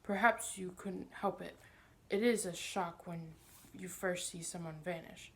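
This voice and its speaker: A woman's voice: fairly low pitch, fairly even intonation, measured pace, a bit bright. A woman voicing a pompous, sly older male magician in a storybook, smug and condescending, with a wheedling manner. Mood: sly, menacing.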